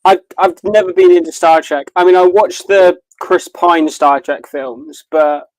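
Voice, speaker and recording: A man's voice: high voice, fairly even intonation, unhurried, no echo, rough recording. A man chatting casually as a podcast co-host, swearing freely with crude, self-deprecating humour. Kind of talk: casual chat, watch party banter.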